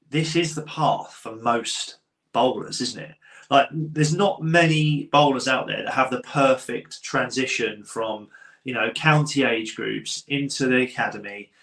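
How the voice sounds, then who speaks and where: Chatty male podcast host, relaxed and rambling, recorded with a roomy echo. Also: medium pitch, gravelly. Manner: chatty, loose, laughs often. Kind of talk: casual podcast chat.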